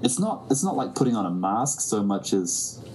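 A man from New Zealand talks things through slowly in a very low voice, often circling back to restart a thought. Faint ambient noise sits under the recording. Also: big pitch swings, a little mellow, low-quality recording. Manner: thoughtful, many restarts and fillers. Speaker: musician guest. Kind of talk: reflective interview talk.

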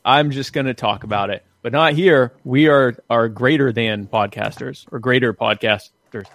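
A man chats casually as a podcast host in a dry, close recording. His delivery is wry and jokey, wandering and halting as he goes, at a medium pitch. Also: a bit bright, no room sound. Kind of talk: casual conversational podcast chat.

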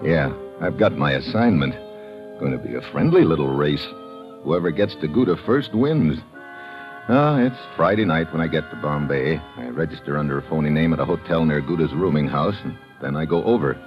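An actor playing a tough, wisecracking American secret agent in a hard-boiled 1950s radio adventure style. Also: male voice, extremely low pitch, animated, moderate pace. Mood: hard-boiled, wry. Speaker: lead actor narrating and playing the hero. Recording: dull old radio recording, music behind.

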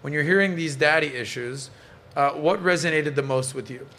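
A man's voice: on the high side, moderate pace, fairly bright tone. A man hosting a casual panel podcast, giving blunt opinions and swearing freely. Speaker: podcast host.

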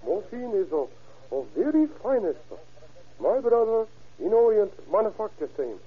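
High-voiced, halting foreign dealer. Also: male voice, sing-song, slightly rough, narrow, tinny audio, exaggerated voice. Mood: hushed, careful. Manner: halting, formally polite, broken English. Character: wary, deferential male foreign drug dealer. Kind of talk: 1940s radio acting. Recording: old radio recording, thin, faint noise.

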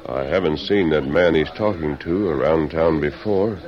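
An actor playing a calm, commanding masked western hero in a 1940s radio drama, measured and authoritative. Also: male voice, rumbling low, crowd noise. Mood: watchful. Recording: muffled old radio recording, noisy background.

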